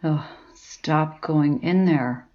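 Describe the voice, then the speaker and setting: A woman playing a blunt, bossy librarian in an audio drama, sarcastic and gruff in how she talks. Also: sits low, very slow, warm tone, dull audio. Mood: impatient, pushy.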